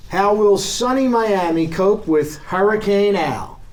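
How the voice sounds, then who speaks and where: A man's voice: sits high, lively intonation, takes it slowly, a little mellow. A man playing a punchy, declamatory old-time newsreel announcer at a drama table read. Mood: urgent, showy. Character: brisk 1930s newsreel announcer.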